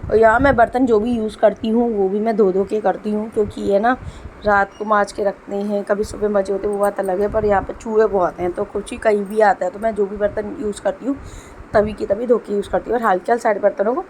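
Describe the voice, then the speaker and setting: A woman's voice: mid-range voice, little pitch movement, a little fast, darkish tone, poor audio. Young woman, homemaker, casual voice-over, chatty, sore throat, slight cough, faint background noise. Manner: chatty, explains as she works. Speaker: homemaker vlogger. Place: home kitchen.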